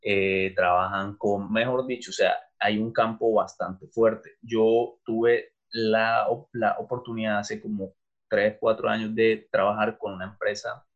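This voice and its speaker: A man's voice: on the low side, flat delivery, a little slow. A middle-aged man from Venezuela, a teacher being interviewed, talking casually and thoughtfully with frequent fillers and restarts. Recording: dull lo-fi sound.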